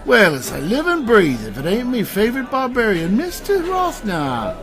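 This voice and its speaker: A man plays a genial fantasy tavern keeper in an audio drama. He is folksy and eager to oblige, his highish pitch bobbing up and down over clear music. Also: huge pitch swings, normal speed. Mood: genial, obliging. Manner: folksy, obliging innkeeper talk. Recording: music under the voice.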